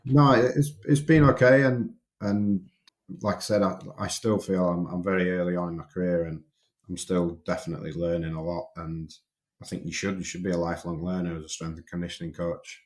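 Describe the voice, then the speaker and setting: Deep, flat-voiced young Yorkshire man. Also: brisk. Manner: hesitant, repeats and restarts words. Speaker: strength and conditioning coach, podcast guest. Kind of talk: casual podcast interview.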